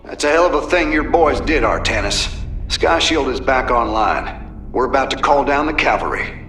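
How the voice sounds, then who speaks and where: An actor playing a gruff, weary middle-aged frontier commander with a folksy Southern American drawl in a video game. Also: male voice, mid-range voice, animated, normal speed, bright, forceful tone, soft background music. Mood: wry, steady. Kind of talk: video game cinematic and mission dialogue acting.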